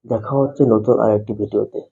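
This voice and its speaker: A man's voice: fairly low pitch, fairly even intonation, quick pace, vocal fry, warm tone, breathy edge. A man narrating a step-by-step phone tutorial, explaining things repetitively and addressing viewers directly. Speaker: tech tutorial host. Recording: muffled, dull lo-fi voice-over.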